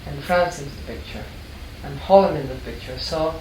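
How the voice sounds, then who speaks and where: A woman's voice: low-pitched, brisk, dark tone, recorded from a distance. An older woman from Ecuador, a longtime art history teacher, telling her story in an earnest, reflective way with frequent restarts. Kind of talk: personal storytelling interview. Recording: echoey room, mic set back, background noise.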